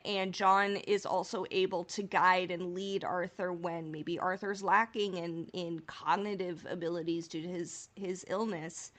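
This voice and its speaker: A woman's voice: lowish voice, steady tone, unhurried, few pauses, fairly bright tone. A woman co-hosting a podcast in casual fan discussion, speaking in an earnest, enthusiastic way. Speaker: podcast host.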